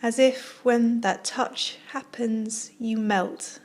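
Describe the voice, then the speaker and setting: A woman yoga teacher leading a guided relaxation, speaking softly and soothingly in short phrases with long pauses. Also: fairly high pitch, fairly even intonation. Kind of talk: guided meditation, yoga nidra narration.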